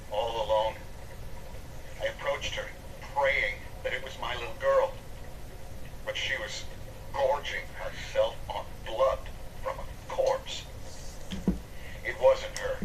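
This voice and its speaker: A man's voice: on the low side, steady tone. Haunted, desperate father, echoey. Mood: shaken, desperate. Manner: halting, intense. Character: desperate, haunted father searching for lost daughter. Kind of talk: video game audio diary acting. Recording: echoey, distant, noisy game playback.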